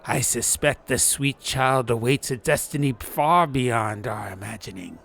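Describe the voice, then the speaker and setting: A man's voice: medium pitch, a little slow, vocal fry, fairly bright tone, pitched above the performer's own voice. A man voicing an intense, big-hearted adventurer in a fantasy audiobook, speaking with grave, dramatic wonder. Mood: troubled, awed. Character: intense, awed, big-hearted male companion on a quest.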